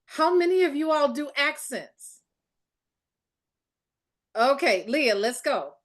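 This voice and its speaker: A woman moderating a panel, brisk and quick to hand over, often saying "indeed". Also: high voice, very animated.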